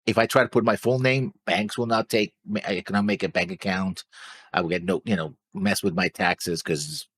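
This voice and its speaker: A man's voice: sits low, steady tone, normal speed, a bit bright, breathy edge. A man hosting a reaction show, chatting casually in a rambling, explanatory way. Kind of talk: casual chat.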